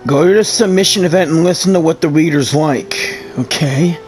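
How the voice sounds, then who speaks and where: A man's voice: fairly high pitch, moderate pace, a little mellow, faint music behind. A man doing casual playthrough commentary, reading lines aloud and reacting bluntly and playfully. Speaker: video game streamer.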